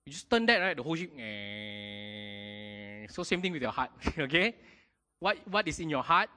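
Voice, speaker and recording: A man preaching a sermon in a lively way, often checking in with his congregation. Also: mid-range voice, moderate pace. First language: not Mandarin. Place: church hall. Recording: long hall reverb.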